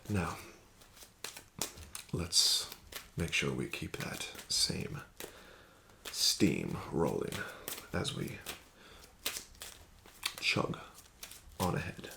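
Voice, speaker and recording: Male ASMR casino dealer: unhurried, lilting and smoothly persuasive, with a polite formal delivery and frequent whispers. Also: rumbling low, big pitch swings, background noise. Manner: formal, courteous, gently coaxing dealer patter. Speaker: casino card dealer in a roleplay. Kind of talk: ASMR roleplay, soft-spoken with whispered phrases.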